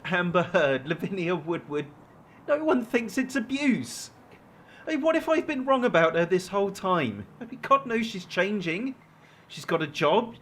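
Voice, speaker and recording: A man's voice: high-pitched, very animated, moderate pace, a bit bright, slight background noise. An actor playing an anxious, lovesick man in a radio drama, hesitant and pleading, stumbling over his words. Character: anxious lovesick man clinging to an abusive girlfriend.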